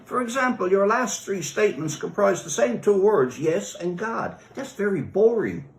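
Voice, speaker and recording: A man's voice: sits high, expressive, moderate pace, voice pushed up. A man reading a story as a blunt, self-absorbed God who lectures dismissively. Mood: scornful, exasperated. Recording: roomy echo.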